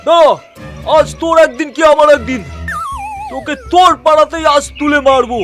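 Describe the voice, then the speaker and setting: A man's voice: very high-pitched, fairly quick, soft background music. A man voicing a greedy, bullying cartoon trader in a blustering, exclamatory way. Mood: suspicious, furious. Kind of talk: children's cartoon voice acting.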